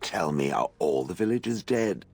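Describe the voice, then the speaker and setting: An actor playing an ancient stone golem in a video game, dryly sarcastic, weary and contemptuous of humans. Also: male voice, low-pitched, moderate pace, vocal fry, breathy edge, no room sound, exaggerated voice. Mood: dry sarcasm. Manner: dry, deadpan sarcasm, weary and haughty. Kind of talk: video game character acting.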